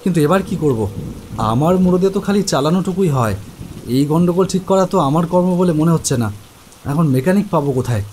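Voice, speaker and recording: A man playing an exasperated husband who runs a trucking business and tells his own story in an animated horror tale, talking conversationally. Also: on the high side, fast, a little hiss or hum, natural voice. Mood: frustrated. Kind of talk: Bengali animated horror story acting and narration.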